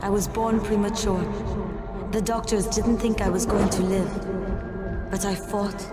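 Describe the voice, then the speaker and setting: A determined, proud young woman from a cinematic game, played by an actress. She speaks slowly and earnestly, ready to sacrifice herself. Loud dramatic music plays underneath. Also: mid-range voice, fairly even intonation, lightly acted. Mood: proud, pleading. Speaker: voice actor in a video game. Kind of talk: cinematic video game acting. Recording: loud film score behind the voice.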